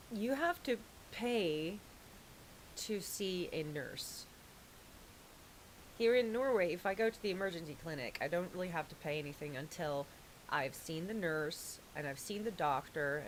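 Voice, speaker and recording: Low-voiced woman streaming. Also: a little hiss or hum, low-quality recording. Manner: rambling, pauses with fillers and restarts. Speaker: livestreamer. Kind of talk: casual livestream chat while doing makeup.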